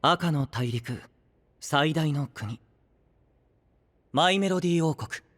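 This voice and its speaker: An actor playing a gentle, idealistic young knight who leads an alliance, speaking softly and earnestly in anime audio drama style. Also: male voice, fairly high pitch. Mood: gently persuasive. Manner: soft-spoken, earnest, measured.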